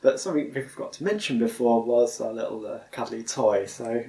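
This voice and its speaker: British man, relaxed chuckly home-channel host, roomy sofa recording. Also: mid-range voice, brisk. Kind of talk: casual chat. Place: home living room sofa.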